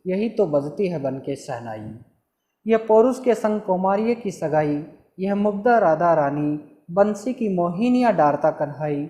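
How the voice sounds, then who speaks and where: A man's voice: sits high, a little mellow. A man reciting lyrical verse and giving a short formal speech at a college function. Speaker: speaker at a college Hindi Day function. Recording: roomy echo.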